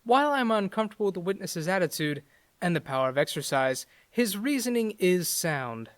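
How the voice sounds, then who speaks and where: A man voicing a flustered, excitable, out-of-shape cheetah judge in a comic fan fiction reading. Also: high-pitched, brisk, no room sound, voice pushed up. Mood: uneasy.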